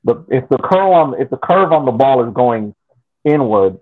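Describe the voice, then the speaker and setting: A man casually analyzing a soccer match as a podcast panelist, speaking in a halting, deliberate way. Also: mid-range voice, lively intonation. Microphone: video call. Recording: phone-like narrowband call audio.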